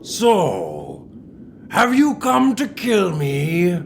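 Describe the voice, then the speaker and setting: A man's voice: sits high, huge pitch swings, very slow, creaky, a little hiss or hum, full character voice. A man playing a sly, unruffled admiral in an audio comedy drama, with a drawling, teasing, theatrical delivery.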